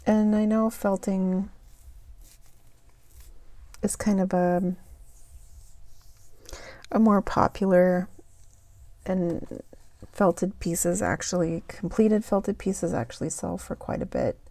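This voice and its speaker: A woman chatting casually as she shows off thrift store finds, her voice getting a little raspy. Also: medium pitch, dark tone, no echo. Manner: slow, unhurried, chatty with fillers. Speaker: thrift haul vlogger. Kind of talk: casual haul show-and-tell.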